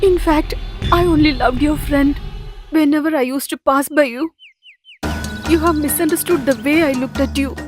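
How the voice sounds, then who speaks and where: A woman's voice: high-pitched, normal speed, music in the background, natural voice. A woman playing a young bride in a dubbed film, speaking earnestly and pleading. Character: young bride in love with the groom's friend.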